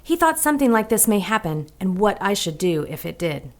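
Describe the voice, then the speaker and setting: A woman's voice: mid-range voice, very animated, a little fast. An actress playing an earnest woman narrating her search in a 1940s pulp audio drama. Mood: earnest, uneasy.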